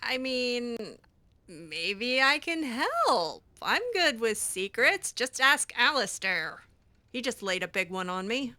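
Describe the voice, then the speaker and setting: Gamer woman roleplaying, slow and hesitant, very animated, sarcastic, game music behind her. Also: on the high side. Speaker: gamer roleplaying a mage heroine. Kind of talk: improvised in-character game playthrough.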